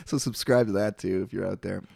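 Wired, fast-talking male podcast host. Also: deep voice, creaky, darkish tone, slightly rough, dry recording, clean studio sound. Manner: fast, wired, laughs often and swears casually. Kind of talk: casual chat.

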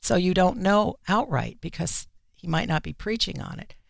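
A woman's voice: fairly low pitch, lively intonation, normal speed, gravelly, no room sound, clean studio sound. A woman speaking as a guest in a radio interview, plainspoken and emphatic. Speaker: magazine editor-in-chief, interview guest.

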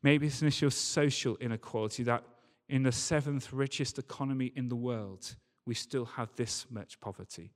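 A man's voice: mid-range voice, normal speed, few pauses. A man preaching a church sermon in an earnest, emphatic way, repeating key phrases. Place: large reverberant church or hall. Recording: long hall reverb.

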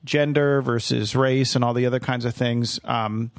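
A man hosting a podcast in casual chat. He talks haltingly, full of fillers and restarts. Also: medium pitch, fairly even intonation, no echo, clean studio sound. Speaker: podcast host.

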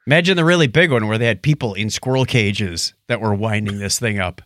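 A man's voice: on the low side, fairly quick, a bit bright, dry recording, studio quality. A man in his fifties co-hosting a podcast in casual, jokey banter, acting out little quotes as he talks. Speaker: podcast co-host. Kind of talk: casual banter and listener feedback.

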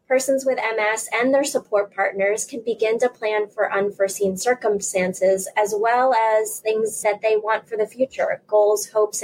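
A woman's voice: mid-range voice, slight background noise, rough recording. A woman presenting health information and interviewing guests as the host.

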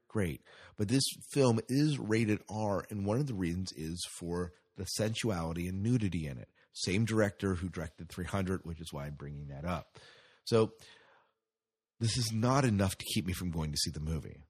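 A man's voice: low voice, normal speed, slightly rough. A man hosting a podcast with casual solo commentary, rambling and hesitant, often repeating his phrases. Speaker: podcast host.

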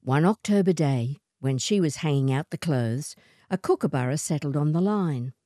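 A woman reading a short story as narrator, in an expressive scripted storytelling style. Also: sits low, moderate pace, no echo, studio quality.

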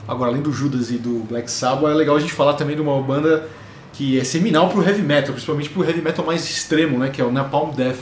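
A man co-hosting a podcast in casual music chat, explaining things and tagging on "né?". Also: a little high. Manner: fast, lecturing, adds "né?" often. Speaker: podcast co-host. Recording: echoey room with faint noise.

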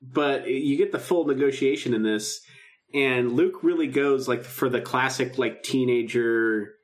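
A man chats as a podcast co-host in an informal, enthusiastic way. His voice sits at an average male pitch and the delivery is loose and conversational. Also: a little slow. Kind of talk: casual podcast chat about a radio drama.

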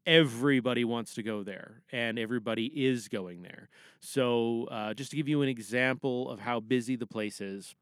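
A man's voice: medium pitch, no room sound, studio quality. A man hosting a podcast, talking in a chatty, rambling audio diary style. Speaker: podcast host.

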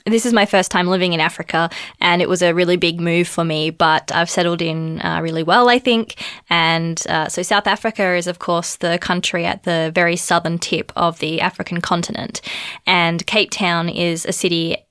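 Australian woman, thirties, podcast co-host; chatty with frequent ums, slightly low, dry close sound. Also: fairly even intonation, normal speed, fairly bright tone, clean tone, no echo. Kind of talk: casual podcast chat.

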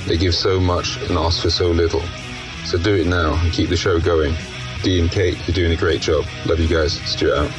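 A man with a deep, flat voice delivers a slow, earnest recorded promo. Plucked guitar music plays under him throughout. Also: poor audio. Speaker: listener calling in with a promo. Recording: promo with music bed behind.

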